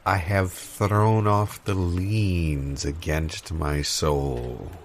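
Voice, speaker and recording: A man performing improvised audio comedy as a pompous guru of financial enlightenment, speaking in deadpan, portentous, halting phrases. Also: deep voice, slight background noise. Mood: solemn. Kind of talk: improvised audio comedy, mock-meditative pronouncements.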